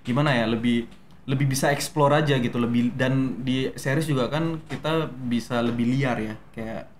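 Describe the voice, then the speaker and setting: A young man from Medan with a softened Medan Indonesian accent, a guest actor telling his story in a relaxed, hesitant way with many fillers. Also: medium pitch, normal speed, slight background noise. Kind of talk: casual interview talk.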